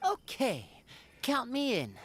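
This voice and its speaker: A woman's voice: fairly high pitch, huge pitch swings, slow, breathy edge, no echo, full character voice. A woman voicing a suave, playful young trickster in an anime game, breezy and self-assured.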